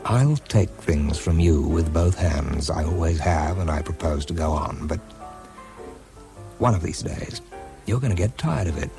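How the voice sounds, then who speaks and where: Very low-voiced, weary British man. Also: animated, unhurried, music in the background, full character voice. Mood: self-deprecating, insistent. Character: wry, weary, self-deprecating male wartime bomb expert. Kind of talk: radio drama narration and dialogue.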